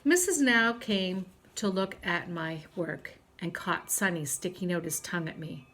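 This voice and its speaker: A woman reading a children's storybook aloud like a teacher, in an expressive, deliberate storytelling manner. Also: lowish voice.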